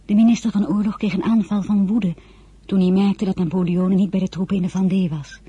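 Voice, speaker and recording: A woman playing an earnest, lovesick French teenage girl in a radio drama, with a husky, breathy voice. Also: mid-range voice, fairly even intonation, a little fast, warm tone, dry recording, highs cut off. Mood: wistful. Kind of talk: radio drama acting with diary narration.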